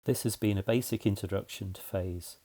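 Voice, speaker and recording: A man's voice: lowish voice, a little fast, mellow. A man narrating a scripted explainer in a methodical, plain-spoken way, as a tutorial presenter.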